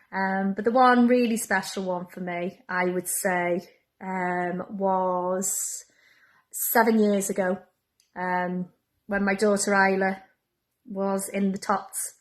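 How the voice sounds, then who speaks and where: A woman's voice: mid-range voice, level tone, takes it slowly. A woman from a pantomime company, reminiscing casually.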